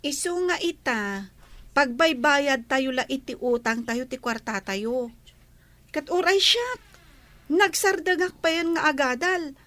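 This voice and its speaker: A woman's voice: high voice, lively intonation, slow, bright, forceful tone, no room sound, heavily acted. A woman with an Ilocano Filipino accent playing a scolding young village daughter in a radio comedy drama.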